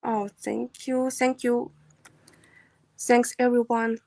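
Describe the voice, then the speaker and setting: Slow, halting Kazakh-accented woman. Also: a little high, flat delivery, creaky, warm tone. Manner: halting, many fillers and restarts. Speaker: translator presenting a project. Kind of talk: hesitant demo presentation on a video call. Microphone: video call.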